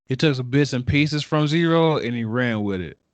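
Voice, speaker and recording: A man's voice: mid-range voice, animated, normal speed, dry recording. Teasing man co-hosting, dry close sound. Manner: teasing, playful banter. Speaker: podcast co-host. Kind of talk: casual chat.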